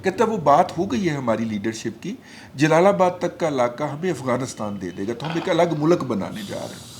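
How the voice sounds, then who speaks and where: A man's voice: on the high side, sing-song, normal speed. A man on a political talk panel, telling a personal story with rising animation. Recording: faint background noise.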